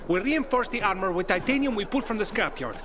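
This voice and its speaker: Game armorer: very high male pitch, lively swings, confident, noisy scene. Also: fast. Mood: explaining. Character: teasing, confident male armorer defending his father. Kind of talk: video game drama acting.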